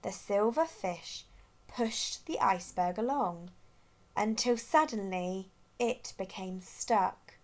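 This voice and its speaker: A woman's voice: medium pitch, slow pace. A woman reading a children's storybook aloud expressively as a storyteller.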